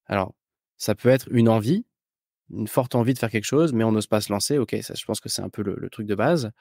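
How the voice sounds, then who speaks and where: A man's voice: lowish voice, brisk, mellow, no room sound, clean studio sound. A man hosting a podcast, explaining things in an informal, conversational way. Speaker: podcast host. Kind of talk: conversational podcast monologue and introduction.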